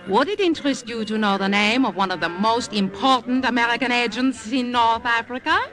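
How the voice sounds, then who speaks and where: An actress playing a worldly, flirtatious French cabaret singer and secret agent in a radio drama, coy, poised and knowing. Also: female voice, fairly high pitch, a little fast, a bit bright, close to an everyday voice. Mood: sly, knowing. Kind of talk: old-time radio acting. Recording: vintage radio recording with music behind.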